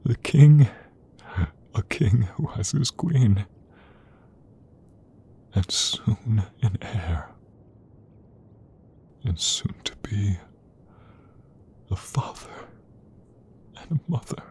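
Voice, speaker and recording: Guy doing a loving king for asmr roleplay, soft breathy dark voice, a bit creaky, really tender and intimate. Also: lowish voice, animated, a little hiss or hum, heavily acted. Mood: moved, loving. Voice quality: breathy, soft, often whispered. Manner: slow, intimate, emotive delivery. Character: tender, devoted young king in love with his queen. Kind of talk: romantic ASMR audio roleplay.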